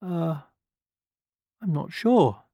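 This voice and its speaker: A man playing a high, fluttery storybook hen. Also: sing-song, fairly quick, darkish tone, no room sound. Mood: nervous. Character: nervous, hospitable, fussing hen, female bird. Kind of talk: storybook character voice.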